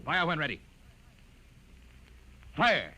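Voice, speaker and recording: An actor playing an impatient commander of a war machine, clipped and authoritative, in 1950s radio drama style. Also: male voice, fairly high pitch, huge pitch swings, takes it slowly, exaggerated voice. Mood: urgent commanding. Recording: old radio recording, loud engine noise.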